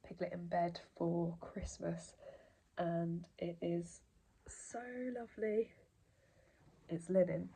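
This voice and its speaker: Congested young woman, husky soft vlog voice. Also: lowish voice, low-quality recording. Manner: rambling, soft, often near a whisper. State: congested, blocked nose. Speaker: vlogger. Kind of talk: casual chatty vlog.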